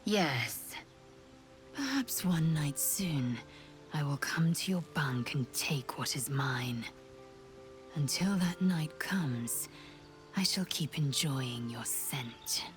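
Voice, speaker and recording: An actress playing a fierce, battle-hardened alien warrior woman in a video game, clipped, commanding and formal, with a hushed edge. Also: sits low, lively intonation, slow pace, faint music behind. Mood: predatory desire. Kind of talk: video game character dialogue.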